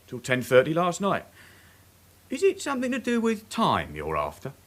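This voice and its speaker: An actor playing a young working-class suspect, cocky and defensive, answering glibly in a radio crime drama. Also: male voice, on the high side, huge pitch swings, moderate pace, a bit bright.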